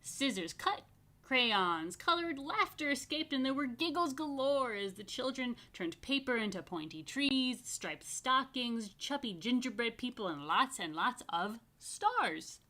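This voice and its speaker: A woman rabbi reading a picture book aloud to children, expressively stretching key words and adding chatty asides. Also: fairly high pitch. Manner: expressive, dramatic emphasis and drawn-out words. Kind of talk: animated storytime read-aloud with chatty asides.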